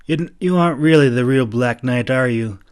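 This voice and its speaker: A man voicing an earnest, excitable young stable boy in a fantasy audiobook, urgent and stammering. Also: medium pitch, moderate pace, smooth, natural voice. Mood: skeptical.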